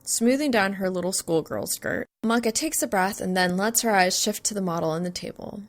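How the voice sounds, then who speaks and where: A woman reading a scripted story aloud as narrator, with an expressive, dramatic delivery. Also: medium pitch, normal speed. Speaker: fan fiction narrator.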